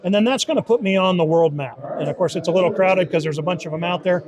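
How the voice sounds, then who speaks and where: A man giving an informal interview demo of a software tool, explaining step by step and often rephrasing as he goes. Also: sits high, quick pace, highs cut off. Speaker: software team member demonstrating a beta tool.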